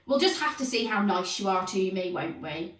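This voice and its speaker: A woman voicing a bossy, boastful schoolgirl with a high, sing-song taunting voice, recorded in a roomy space from a distant mic. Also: expressive, moderate pace, fairly bright tone, natural voice.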